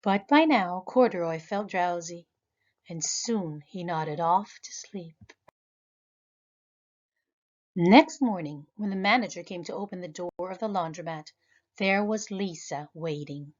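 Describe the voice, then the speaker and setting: A woman, a preschool teacher, reading a children's picture book aloud in a lively, expressive way. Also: unhurried, dull audio. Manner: animated, high voices for characters. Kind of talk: children's storybook read-aloud.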